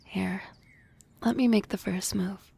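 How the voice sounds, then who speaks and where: A woman voicing a young harpy classmate in love in an ASMR roleplay, soft and often whispering, hesitant and teasing. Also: medium pitch, fairly even intonation, takes it slowly, creaky, husky, dry recording, heavily acted. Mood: tender, teasing.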